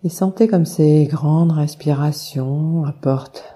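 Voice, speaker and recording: Very mellow, slow-paced woman guiding meditation. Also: deep voice. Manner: calm, soothing, unhurried with long pauses. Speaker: yoga nidra meditation guide. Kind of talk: guided meditation, slow instructions.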